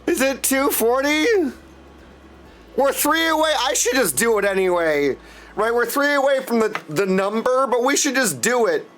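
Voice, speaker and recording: High pitched guy streamer chatting to his viewers, super effusive, music playing behind him. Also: sing-song, normal speed, a bit bright. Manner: effusive, gushing, often addressing viewers as dudes. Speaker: game streamer hosting a live stream. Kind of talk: casual livestream chat to viewers.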